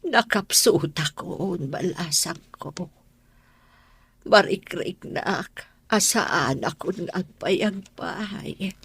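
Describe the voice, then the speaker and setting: A woman's voice: fairly low pitch, expressive, extremely slow, fairly bright tone, slightly rough, dry recording, heavily acted. A woman playing a frail, ailing old mother in a radio drama, weak and tearful as she pleads. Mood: weak, pleading. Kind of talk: Ilocano radio drama acting.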